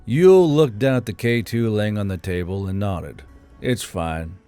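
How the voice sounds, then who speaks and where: A man's voice: on the low side, expressive, normal speed, warm tone, clean tone, dry recording, faint music behind. A man reading scripted audiobook narration of a story.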